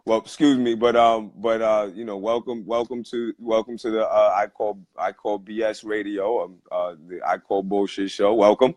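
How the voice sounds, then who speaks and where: A man's voice: on the low side, little pitch movement, normal speed, few pauses, a little mellow. A man hosting a casual live talk show from his phone, rambling with many fillers and restarts. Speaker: host.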